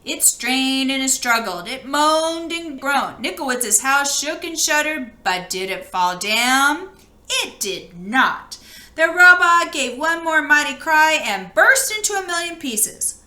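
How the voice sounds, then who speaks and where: A woman reading a children's storybook aloud in an expressive narrating style. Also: high-pitched, slow pace, roomy sound. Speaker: storybook reader.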